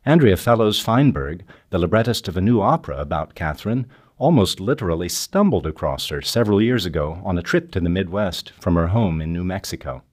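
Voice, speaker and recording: A man's voice: sits low, lively intonation, fairly quick, a little mellow, husky. An older man narrating a scripted documentary podcast as its host. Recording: music behind the voice. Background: music.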